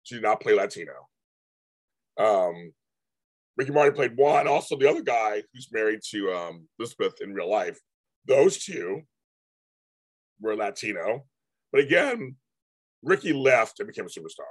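A man talks casually to his live-stream viewers, his voice hoarse and husky. He rambles, repeats himself and restarts his sentences often. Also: on the low side, sing-song, normal speed, long pauses. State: hoarse, voice going out. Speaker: livestream host.

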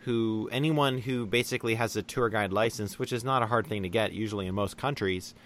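A man with a fairly low voice hosts a casual call-in talk show. He chats with enthusiasm and fills pauses with ums and likes. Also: a bit bright, slight background noise. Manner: chatty and enthusiastic, many fillers. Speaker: podcast host. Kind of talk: casual interview chat. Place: lives in Taiwan.

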